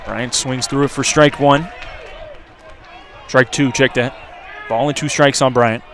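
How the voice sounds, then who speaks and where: A man's voice: medium pitch, fairly even intonation, measured pace, a bit bright. A man doing live radio play-by-play commentary of a baseball game from an outdoor ballpark. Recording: outdoor crowd and background noise. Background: ballpark crowd and ambient noise.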